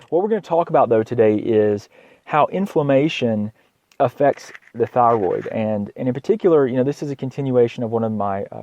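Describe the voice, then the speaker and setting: A slightly hoarse man with a cold hosts an informal health podcast as a doctor, speaking haltingly, repeating words and often clearing his throat. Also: medium pitch, darkish tone, muffled recording, a little hiss or hum. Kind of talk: informal educational health monologue.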